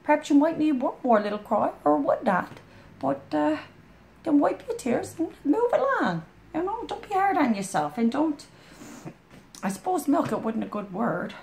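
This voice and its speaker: A hoarse woman with a chest cold, rambling in a folksy, heartfelt way as she chats to a friend. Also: sits high, sing-song, moderate pace, darkish tone. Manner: rambling, folksy, full of "you know". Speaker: storytime vlogger. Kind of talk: casual heartfelt chat to a friend.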